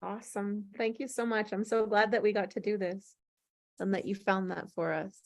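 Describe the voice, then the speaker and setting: A woman's voice: medium pitch, level tone, normal speed. A woman hosting an online table read in casual, slightly scattered chat over a video call.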